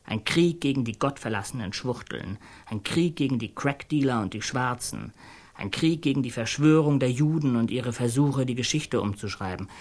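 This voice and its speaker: An earnest storyteller in a radio play , performed by an actor, speaking at a middling pitch in halting, colloquial phrases. The voice searches for words and circles back on them with naive, awestruck conviction. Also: lightly acted. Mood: fervent.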